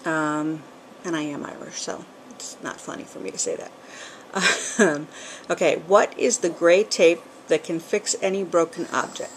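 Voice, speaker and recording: A woman's voice: lowish voice, fairly even intonation, unhurried, slight background noise, poor audio. Chatty middle-aged New England woman. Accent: New England, with some Southern. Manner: chatty, rambling, with frequent ums and small laughs. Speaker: vlogger. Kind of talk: casual chat. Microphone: camcorder built-in mic.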